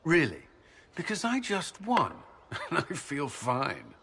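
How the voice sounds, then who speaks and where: An actor playing an earnest, dutiful military commander in a video game, reserved but friendly, with formal, courteous speech. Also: male voice, a little high, huge pitch swings, takes it slowly, creaky, background noise, exaggerated voice. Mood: playful, exasperated. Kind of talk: video game cutscene acting.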